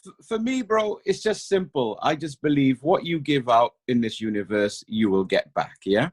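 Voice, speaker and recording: Calm, laid-back Welsh man. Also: medium pitch, normal speed, slightly rough. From Cardiff, Wales. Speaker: guest. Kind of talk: casual chat.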